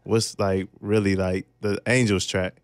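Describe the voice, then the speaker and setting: A man's voice: deep voice, little pitch movement, measured pace, smooth, no echo. A young man hosting an informal, slangy interview at a studio microphone. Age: young adult. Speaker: radio host, interviewer. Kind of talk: casual radio interview. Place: radio studio with equipment racks. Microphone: close studio broadcast microphone.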